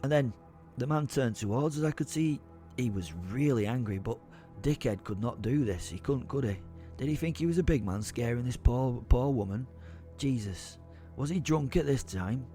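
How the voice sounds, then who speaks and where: A man's voice: medium pitch, expressive, normal speed, warm tone, soft background music, lightly acted. An actor playing a rattled, plain-spoken young man on a night out, telling his story in an agitated, self-questioning way in an audio drama. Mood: rattled, bewildered. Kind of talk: dramatised first-person storytelling.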